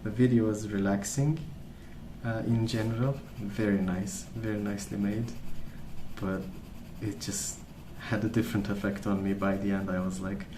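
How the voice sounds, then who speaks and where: A man rambling casually in a soft-spoken ASMR style, hesitant and meandering as he hosts. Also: lowish voice, echoey room, background noise. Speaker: ASMR video host.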